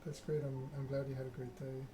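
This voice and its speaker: A man streaming a game in soft ASMR style, reading story text aloud and chatting casually with viewers. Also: mid-range voice, completely flat delivery, fairly quick, background noise. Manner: reads narrative text aloud, chats with viewers.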